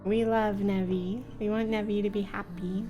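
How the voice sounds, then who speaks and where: A woman's voice: medium pitch, little pitch movement, normal speed, music in the background. A woman livestreamer reading a visual novel aloud and chatting casually, with a playful, affectionate manner. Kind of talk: casual stream chat and read-aloud narration.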